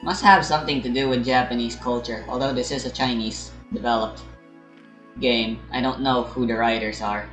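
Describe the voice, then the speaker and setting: A man's voice: mid-range voice, fairly even intonation, moderate pace, a bit bright. A young man giving rambling, casual game commentary, musing aloud and often correcting himself as he goes. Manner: musing, hesitant, self-correcting. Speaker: gamer recording a playthrough. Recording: roomy sound over game music. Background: game music.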